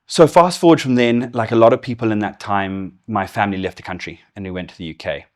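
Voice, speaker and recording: A middle-aged man from Zimbabwe whose Zimbabwean accent has softened toward English, telling his story candidly as an interview guest. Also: on the low side, fast talker. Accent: Zimbabwean with English influence. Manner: candid, storytelling, laughs easily. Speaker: guest, business executive. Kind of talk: casual interview conversation.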